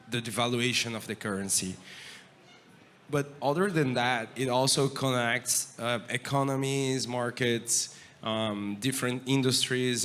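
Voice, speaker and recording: A man's voice: medium pitch, a bit bright, slight background noise. A man moderating a conference panel, asking loose, searching questions with many fillers. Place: conference stage.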